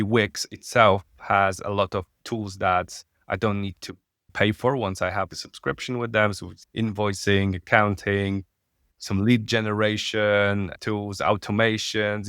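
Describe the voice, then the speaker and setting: Polish-accented man, low smooth voice. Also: fairly bright tone, no room sound, clean studio sound. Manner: halting, searching for words. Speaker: guest. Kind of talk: podcast interview.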